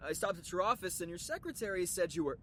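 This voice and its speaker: A smug, doting boyfriend in an audio comedy. His pitch swings widely as he patronises people, over a faint rumble. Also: animated, fast, fairly bright tone. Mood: affectionate.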